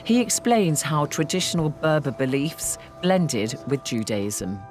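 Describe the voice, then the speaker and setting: Low-voiced woman narrating a documentary. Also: very animated, unhurried, darkish tone, faint music behind. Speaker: documentary presenter and interviewer. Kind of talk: scripted documentary narration and interviewing.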